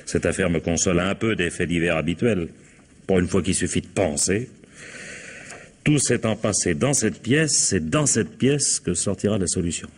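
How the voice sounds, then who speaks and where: Wry, low-voiced male police captain. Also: moderate pace, husky. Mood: mock-polite irony. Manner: ironic, mock-polite, musing. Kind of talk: old French radio crime drama acting.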